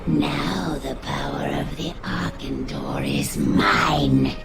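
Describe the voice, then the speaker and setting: An actress playing a regal, power-hungry elven woman with a creaky, breathy voice in a fantasy game cinematic, slow and grandiose. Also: a bass voice, wildly swooping pitch, heavily acted. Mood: gloating. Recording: loud music behind the voice.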